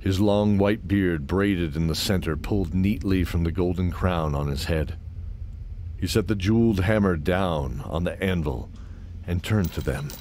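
A man's voice: sits low, a little slow, darkish tone, a little hiss or hum. A man narrating a fantasy audio drama in a scripted, dramatic storytelling style.

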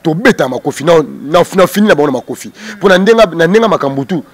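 A man's voice: on the high side, expressive, quick pace, husky. A man in his thirties with a Kinshasa Congolese accent, speaking combatively and emphatically in an interview, repeating words, into a handheld microphone outdoors. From Democratic Republic of the Congo. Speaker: interview guest, music group spokesman. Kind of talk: heated street interview in Lingala mixed with French. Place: outdoors on a porch.

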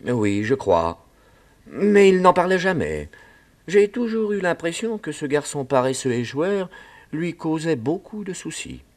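A man playing a polite, cautious reverend in a French radio play, speaking gently and piously. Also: fairly high pitch, big pitch swings, a little slow. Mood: courteous. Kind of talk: old French radio drama acting.

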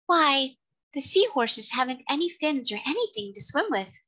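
Curious little girl done by a woman, raised pitch, outspoken, inquisitive, bright tone, storybook heroine. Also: moderate pace. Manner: inquisitive, frank.

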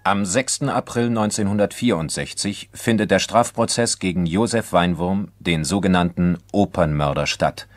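A man's voice: deep voice, moderate pace, hardly any pauses, close to an everyday voice. A man narrating a crime radio drama as a sober chronicler, formal and matter-of-fact. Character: sober male chronicler of a criminal trial.

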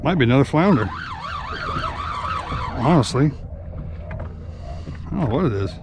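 A man hosting a fishing vlog from an open boat at sea, giving lively play-by-play as fish bite. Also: medium pitch, normal speed. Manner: excited play-by-play while reeling in fish. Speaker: fishing guide and channel host. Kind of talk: casual fishing vlog commentary. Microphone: action camera on the boat. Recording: outdoor boat noise behind the voice. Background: boat engine and water noise.

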